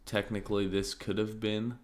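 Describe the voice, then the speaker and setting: A man streaming crypto market commentary in a casual, thinking-aloud way, his voice slightly raspy and often interrupted by throat clearing. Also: lowish voice, moderate pace. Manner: relaxed, thinking aloud, many fillers like "like" and "um".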